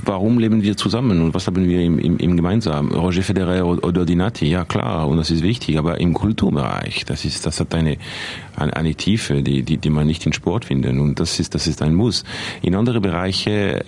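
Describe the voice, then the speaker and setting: Very deep-voiced man with a French accent. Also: darkish tone. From Geneva. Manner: halting, many repeated words and restarts. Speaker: interview guest, film official. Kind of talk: hesitant interview answers in a second language.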